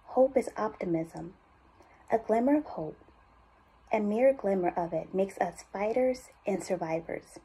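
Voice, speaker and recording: A woman reading as an earnest, hopeful narrator of a sentimental charity advert, deliberate, with a husky, breathy voice. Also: mid-range voice, expressive, mellow, exaggerated voice, low-quality recording. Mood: tender, hopeful. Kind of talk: scripted ad read, sentimental.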